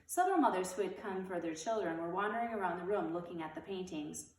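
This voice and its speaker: A woman reading a children's storybook aloud in an expressive narrating style. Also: lowish voice, fast. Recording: roomy echo, distant mic.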